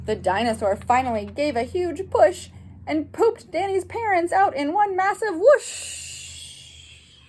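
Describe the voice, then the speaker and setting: A woman with a high voice reads a children's story aloud at a slow, deliberate pace. She sounds like a teacher with a class, expressive and animated, speaking directly to her young listeners. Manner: animated, addresses young listeners with asides and questions. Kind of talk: expressive children's picture-book read-aloud.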